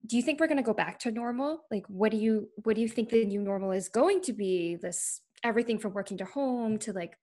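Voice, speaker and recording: A young woman moderates an online panel over a laptop webcam. Her voice sits slightly high. She asks questions in a loose, casual way, leaning on "like.". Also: fairly quick, hardly any pauses, a little mellow. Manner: casual, frequent "like". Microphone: laptop webcam video call.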